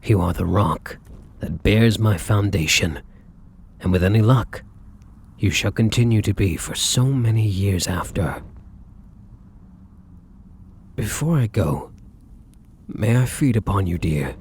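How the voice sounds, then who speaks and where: A man playing a devoted, courtly vampire lover in an ASMR roleplay, with a husky, breathy voice and formal, flowery endearments. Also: fairly low pitch, animated, a little slow, darkish tone, slight background noise. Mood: tender, solemn. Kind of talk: ASMR roleplay, scripted romantic monologue.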